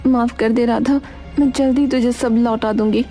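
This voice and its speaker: Woman acting strict village mother, soft-toned, high-voiced. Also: steady tone, normal speed, soft background music, close to an everyday voice. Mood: pleading. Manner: scolding, firm, plain-spoken. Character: Champa, poor, strict, worried village mother. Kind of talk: cartoon moral story acting.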